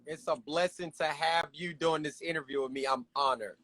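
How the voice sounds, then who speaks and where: A man's voice: on the high side, fairly even intonation, normal speed, fairly bright tone, dry recording. A man hosting a casual interview, friendly and hyped, speaking in slang. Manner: friendly, hype, uses slang. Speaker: interview host.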